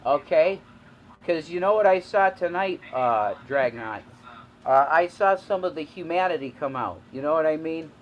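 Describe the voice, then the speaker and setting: A man's voice: on the high side, lively intonation, moderate pace, muffled recording, a little hiss or hum. A man rambling and repeating himself in a clip recorded in a hotel room. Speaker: streamer in a played clip. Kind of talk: rambling video address.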